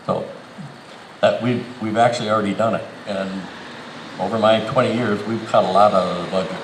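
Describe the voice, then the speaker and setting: A middle-aged man with a low voice. He answers as a council candidate in a plain, halting way. The sound echoes heavily over an outdoor PA, with clear ambient noise. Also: fairly even intonation. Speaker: city council candidate and incumbent. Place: outdoors in a town park.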